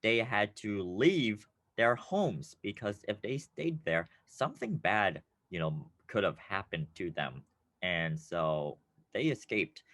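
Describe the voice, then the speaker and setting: A man's voice: on the low side, slow pace, no room sound. Upbeat man teaching a class lesson. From United States. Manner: upbeat, playful, with bursts of excitement. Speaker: teacher presenting a class video.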